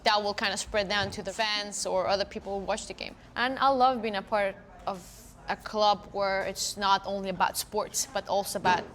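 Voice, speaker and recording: A woman's voice: mid-range voice, steady tone, moderate pace, fairly bright tone. A young woman whose first language is Dari, a soccer player talking earnestly and reflectively in a casual interview. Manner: earnest, reflective, speaks in loose thoughtful phrases with fillers.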